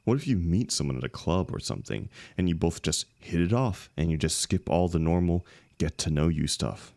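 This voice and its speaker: Very deep, gravelly young heartbreaker, acted by a man. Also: a little mellow, no echo, studio quality. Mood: teasing, defensive. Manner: casual, halting, emotional, swinging emphasis. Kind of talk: intimate one-sided audio roleplay.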